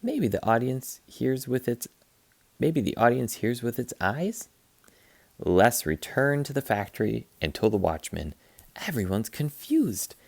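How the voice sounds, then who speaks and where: A man reading a children's picture book aloud like a teacher, in an expressive, playful way. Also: mid-range voice, measured pace, gravelly, no echo. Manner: expressive, playful, acts out sound effects.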